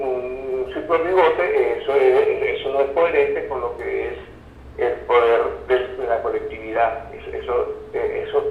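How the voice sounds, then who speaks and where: A dull, echoey recording of a Venezuelan man, set well back from the microphone with faint noise around him. He explains analytically as an interview guest. His delivery is halting and didactic, with frequent checks that the listener follows. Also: mid-range voice. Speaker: interview guest, communication researcher. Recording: roomy, distant, dull sound, faint noise.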